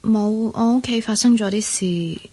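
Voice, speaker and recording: A woman's voice: on the high side, little pitch movement, brisk, warm tone, slightly rough. An actress playing a modest, reserved young office woman in a Cantonese radio drama. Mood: earnest, soft. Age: young adult.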